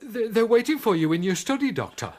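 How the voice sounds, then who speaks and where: An actor playing an agitated, deferential school messenger, announcing hurriedly in a radio drama. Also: male voice, sits high, sing-song, fairly quick.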